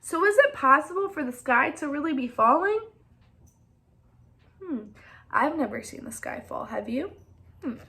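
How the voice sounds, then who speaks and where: A woman with a high, bright voice reads a children's story aloud. Her delivery is expressive and interactive, turning now and then to the listeners with questions. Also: unhurried, gravelly, long pauses.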